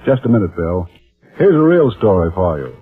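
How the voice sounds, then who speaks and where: A man working as a commercial announcer, delivering a folksy vintage radio advertising pitch. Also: big pitch swings, moderate pace, slightly rough, soft background music. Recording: tinny, muffled vintage radio broadcast.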